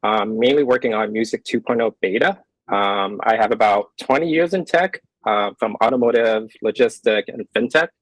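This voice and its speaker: A man's voice: on the low side, normal speed, dry recording, poor audio. A man from Los Angeles introducing himself as an engineer, plainly and briefly, with fillers. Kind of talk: casual chat.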